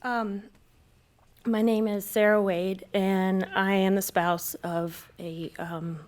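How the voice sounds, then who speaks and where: A woman, a military spouse, giving personal testimony at a public discussion in a halting way, with frequent hesitations and self-corrections. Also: medium pitch, little pitch movement, a little mellow. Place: live event space at a panel discussion.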